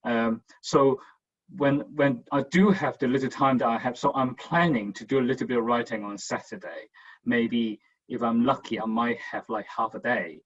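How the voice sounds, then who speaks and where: A man's voice: mid-range voice, steady tone, normal speed, highs cut off. A man on a writers' panel, reflecting candidly and with self-deprecation, often repeating himself, heard over an online call.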